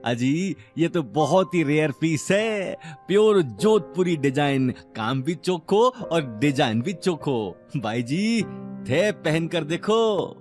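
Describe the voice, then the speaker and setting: Man playing a grumbling Rajasthani shopkeeper, higher-pitched. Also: sing-song, slow, soft background music. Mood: eager selling. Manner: folksy, wheedling, grumbling dialect speech.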